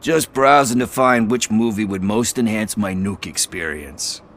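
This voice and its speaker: A scruffy, streetwise informer in a game drama. His voice sits a little low but has a bright, forward edge. He is chatty and sardonic, tossing out slang with a wry, casual manner. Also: measured pace. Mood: wry, playful. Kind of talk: video game dialogue acting.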